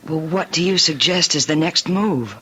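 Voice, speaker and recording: Determined young American woman, bright, clear-cut forceful tone, formal, polite, radio play. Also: sits low, lively intonation, moderate pace, heavily acted. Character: earnest, determined young woman, bereaved daughter. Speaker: actor. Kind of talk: radio drama acting.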